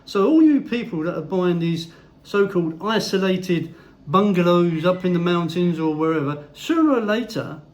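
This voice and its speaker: A man's voice: sits high, expressive, normal speed. An older man, ranting bluntly to camera in a casual vlog and swearing heavily. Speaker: vlogger. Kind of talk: opinionated casual monologue.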